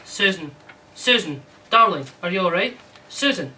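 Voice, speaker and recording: A man's voice: high-pitched, lively intonation, moderate pace, sharp tone, distant mic, a little hiss or hum, full character voice, rough recording. A man playing a worried, attentive husband in a radio drama, coaxing and hesitant. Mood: concerned.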